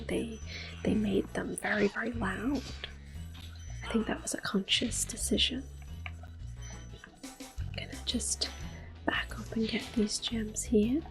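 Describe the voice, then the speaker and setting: A young woman giving calm, soft-spoken ASMR commentary on a game she is playing, drifting often into close whispers with gentle fillers. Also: medium pitch, rough recording. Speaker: ASMR gaming host. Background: faint video game music.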